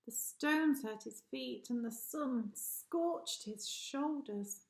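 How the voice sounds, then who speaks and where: A woman's voice: sits high, slow pace, vocal fry. A woman reading a rhyming children's story aloud expressively, like a teacher addressing pupils. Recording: echoey room.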